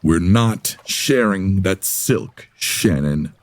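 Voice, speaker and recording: A man's voice: low voice, expressive, very slow, no room sound, heavily acted. A man playing a cocky, menacing 1950s smuggler in a comedy audio drama, with taunting tough-guy talk. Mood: menacing, wary.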